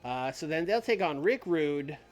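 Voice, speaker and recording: A man casually thinking out loud while streaming a simulation game, rambling, restarting his sentences and laughing at himself. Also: on the high side, animated, moderate pace. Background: faint background music.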